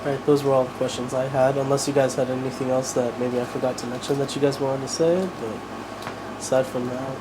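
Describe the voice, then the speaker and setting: A man conducting a relaxed interview at a mid pitch, with filler words throughout. An air-conditioning hum runs clearly under his voice. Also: fairly quick. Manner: relaxed, with lots of "like" and "y'all". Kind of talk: casual interview questions.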